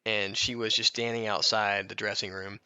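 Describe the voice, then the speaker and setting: A man's voice: lowish voice, little pitch movement, normal speed, pushed and bright, smooth, no echo, highs cut off. A man co-hosting a comedy podcast, mixing mock-biography reading with joking, irreverent asides and prone to breaking into laughter. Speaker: podcast co-host.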